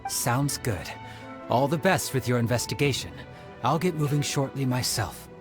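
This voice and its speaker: An actor playing a friendly, helpful young man in a game who shares leads, with an eager, expressive manner. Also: mid-range voice, normal speed, natural voice. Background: game music.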